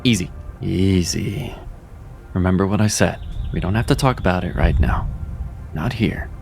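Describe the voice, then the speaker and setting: A man's voice: low voice, normal speed, creaky. A man voicing Seraphin, a wry cat mentor. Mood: steady. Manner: steady, reassuring, self-critical. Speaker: voice actor. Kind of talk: audio drama acting. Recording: music underneath.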